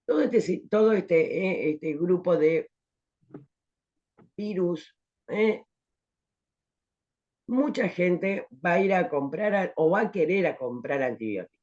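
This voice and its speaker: A woman's voice: lowish voice, expressive, slow, pauses often, a little mellow, lo-fi sound. A woman teaching an online pharmacology class, explaining with rhetorical questions and tagging her points with "¿eh?".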